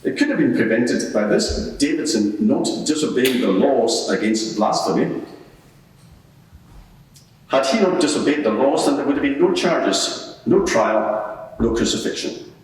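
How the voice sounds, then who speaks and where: A scornful, formal state procurator acted by a man argues his case in a live courtroom drama. He speaks in dismissive rhetorical questions at a middling pitch, and his voice rings from far off in a very echoey hall. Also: animated, a little slow, slight background noise, close to an everyday voice. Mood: formal, assertive. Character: scornful Roman state procurator arguing at an inquiry. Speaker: advocate in a mock court inquiry. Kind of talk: courtroom cross-examination and closing submission. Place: large echoing courtroom, live event.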